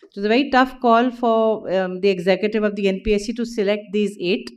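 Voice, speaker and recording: A woman with an Indian English accent, addressing the festival audience as organizer and warmly encouraging young authors. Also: mid-range voice, steady tone, brisk, smooth. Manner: warm, encouraging announcer.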